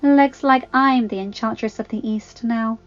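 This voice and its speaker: A woman voicing a smug, menacing female villain in a storybook, gloating in a drawn-out manner. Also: fairly high pitch, muffled recording, faint music behind, close to an everyday voice.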